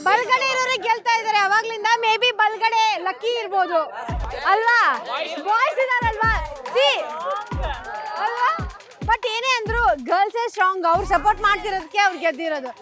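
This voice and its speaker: A woman's voice: very high voice, moderate pace. A woman with a Kannada accent hosting a college game show, urging on a student audience with short repeated phrases. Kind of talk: lively event hosting, addressing a student crowd. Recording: loud music and cheering crowd behind.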